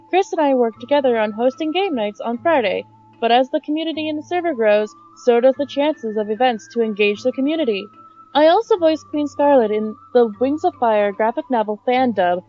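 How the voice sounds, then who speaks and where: A woman, a community events leader, giving a scripted introduction of herself and her project on a lo-fi microphone. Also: high voice. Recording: dull lo-fi microphone.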